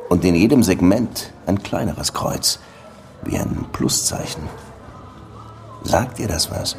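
A world-weary detective in a radio play. The voice is very low, measured and dry, dogged yet courteous, with faint music behind it. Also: male voice, animated, vocal fry, full character voice. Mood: insistent. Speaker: lead detective and first-person narrator. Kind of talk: radio drama dialogue and narration.

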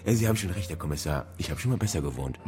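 A down-on-his-luck educated man with an extremely low voice. He talks haltingly, in short resigned phrases, as in a naturalistic radio crime play, with music underneath. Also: lively intonation. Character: resigned, educated, unemployed former schoolteacher, a man. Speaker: neighbour being questioned by police.

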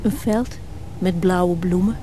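A woman's voice: fairly low pitch, slow pace, mellow, a little hiss or hum. A woman playing an affectionate, teasing, self-willed middle-aged wife in a radio play, coaxing and playful. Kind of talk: naturalistic radio play dialogue.

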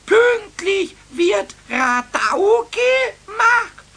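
A man voicing a cheeky, boastful little kobold with a shrill squawky voice in a 1970s children's radio play. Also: very slow, full character voice. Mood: know-it-all. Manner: stammering excited repetition, singsong rhyming.

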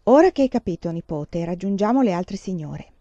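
A woman voicing an elderly grandmother, the family matriarch, who speaks in a hushed, confiding whisper. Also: medium pitch, animated, normal speed, no echo, muffled recording, close to an everyday voice.

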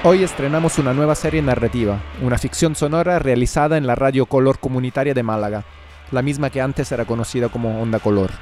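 A man's voice: medium pitch, fast talker, over music. A man from outside Spain presenting and interviewing on the radio.

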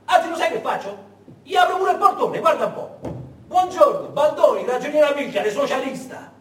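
A fed-up defiant socialist, bitter sarcasm, high excitable voice, echoey radio play. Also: male voice, moderate pace. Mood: defiant, shouting. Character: defiant, fed-up Italian socialist man facing arrest. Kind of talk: theatrical radio monologue. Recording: echoey hall, distant mic, noisy.

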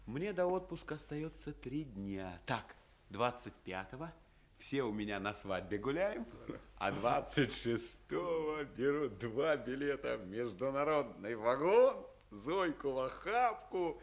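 An actor playing a dreamy, good-humoured young Soviet lieutenant in 1950s radio theatre. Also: male voice, medium pitch, unhurried. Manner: lyrical, animated, boyish.

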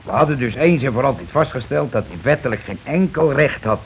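A man's voice: mid-range voice, expressive, brisk, natural voice. A blustering working-class husband , played by a male actor in an old radio play. He lays down the law in colloquial speech and pushes for agreement. The recording is muffled, with some noise behind the voice. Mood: indignant, blustering. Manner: argumentative, colloquial, keeps demanding agreement. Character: bossy, blustering working-class husband and landlord, war veteran.

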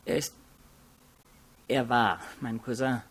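Casting: a condescending male student of nineteen, guarded, curt answers, mid-pitched voice, radio crime play. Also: fairly even intonation, slow pace, fairly bright tone, slightly rough. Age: young adult. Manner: curt, self-assured, condescending. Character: guarded, haughty nineteen-year-old male law student. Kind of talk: radio crime drama acting.

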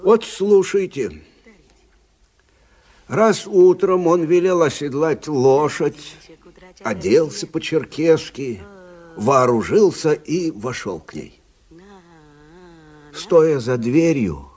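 Простодушного старого капитана, очень живая интонация. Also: male voice, on the high side, animated, slow, pauses often, darkish tone, slightly rough, exaggerated voice. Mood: engaged storytelling. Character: older army captain, simple, kindly, sentimental storyteller. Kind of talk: radio play storytelling. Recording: radio play with faint music.

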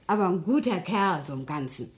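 A woman's voice: fairly low pitch, animated, a little fast, lightly acted. A woman acting a blunt, chatty, nosy working-class maid in a German radio play, speaking in a colloquial, unabashed way. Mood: cheeky.

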